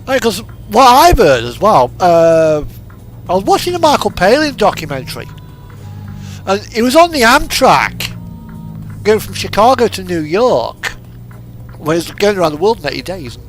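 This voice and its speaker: A man's voice: high voice, sing-song, moderate pace. A British man in casual, rambling chat, telling crude, jokey anecdotes in the manner of a game streamer. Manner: crude, jokey, chuckles often. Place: indoors over driving game audio. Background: music and vehicle engine sounds.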